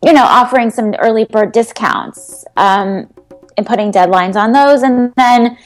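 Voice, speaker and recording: A woman health coach as a guest in an interview, answering in an earnest, rambling way with many pauses. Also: on the high side, faint music behind, poor audio.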